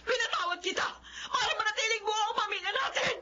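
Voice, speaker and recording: Shrill furious jealous wife. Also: female voice, quick pace, a loud crowd. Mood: furious, tearful. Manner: shouting, tearful accusations. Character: angry jealous wife and mother, shrill, embittered. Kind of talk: Tagalog radio drama acting. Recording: dull noisy lo-fi radio drama audio.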